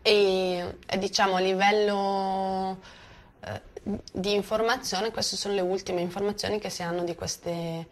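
A woman's voice: mid-range voice, level tone, unhurried. A woman giving spontaneous spoken testimony, hesitant and often searching for words.

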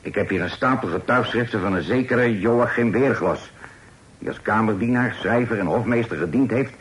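Male actor for a cunning pirate, low voice, relaxed and sly, old radio play. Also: normal speed, a little hiss or hum, close to an everyday voice, rough recording. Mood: persuasive. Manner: calm, sly, full of sailor's sayings. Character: smooth-talking male pirate, bold and sly.

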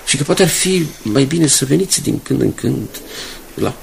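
An actor playing a kindly ageing father and company director in a radio drama, speaking in a courteous, measured, fatherly way. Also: mid-range voice, expressive, creaky, low-quality recording. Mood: tender, grateful. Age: older. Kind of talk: Romanian radio drama acting. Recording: 1980s radio drama, faint background noise.

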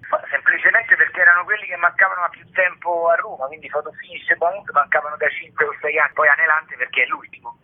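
Middle-aged or older man, combative ironic interviewee, raspy voice over a narrow telephone connection. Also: on the high side, steady tone, fast, few pauses. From Italy. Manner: polemical, ironic, emphatic. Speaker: theatre artist being interviewed. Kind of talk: radio interview. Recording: narrow tinny phone line.

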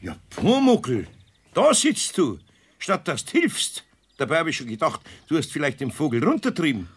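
An actor playing an older, good-natured but grumbling master carpenter with a Bavarian accent and a hesitant, muttering manner in a children's radio play. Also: male voice, fairly high pitch, huge pitch swings, moderate pace, slightly rough, full character voice. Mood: gently reproachful.

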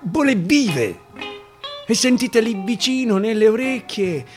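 A man with a Roman accent reciting a dialect monologue theatrically, swinging between hushed murmurs and loud declaiming. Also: high voice, very animated, takes it slowly. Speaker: actor reciting a dialect narrative poem. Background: acoustic guitar music.

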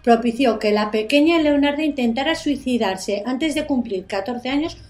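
A woman's voice: high-pitched, normal speed, darkish tone. A woman presenting her segment on a radio show in a conversational storytelling style.

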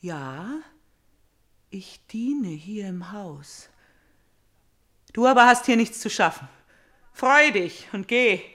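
An actress playing a vengeful, tormented young princess in a classical radio tragedy, declamatory and intense, swinging between whispers and outcries. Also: female voice, medium pitch, animated, slow pace, long pauses, fairly bright tone. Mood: bitter, defiant. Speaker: radio drama actor. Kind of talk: classical tragic radio play acting.

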